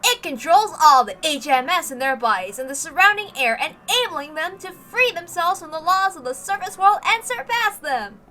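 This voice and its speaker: Woman as a forceful, grandiose military announcer. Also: very high voice, big pitch swings, normal speed, hardly any pauses, slight background noise, pitched above the performer's own voice, exaggerated voice. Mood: proud, rousing. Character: rousing military promotional video announcer.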